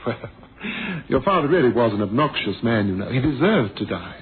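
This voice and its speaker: An actor playing an urbane, mocking murderer in a suspense radio drama, with a smug, darkly amused manner. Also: male voice, medium pitch, big pitch swings, fairly quick, a crowd in the background, natural voice. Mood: coolly menacing. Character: urbane, mocking male murderer who feigned blindness. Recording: noisy phone-like vintage radio recording.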